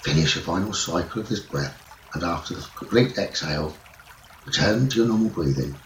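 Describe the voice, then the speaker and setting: A man leading a guided sleep meditation as a teacher, speaking in soothing, measured short phrases. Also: low-pitched, expressive. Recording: roomy echo, running water noise behind. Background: trickling stream water nature sounds.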